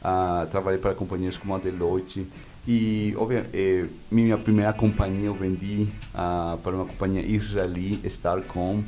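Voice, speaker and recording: A man with a Venezuelan accent and native Spanish, answering interview questions conversationally in halting speech, searching for words. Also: low voice, level tone, dark tone. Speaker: interview guest, media executive.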